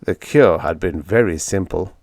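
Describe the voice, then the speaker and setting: A man's voice: deep voice, moderate pace, darkish tone, no echo, studio quality. A man reading a scripted short story aloud as an audiobook narrator.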